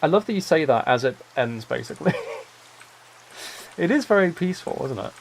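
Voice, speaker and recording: Male streamer, creaky mid-pitched voice, soft-spoken relaxed gaming chat, faint rain-like noise behind. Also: brisk, rough recording. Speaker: livestreamer. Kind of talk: relaxed chat while playing a building game.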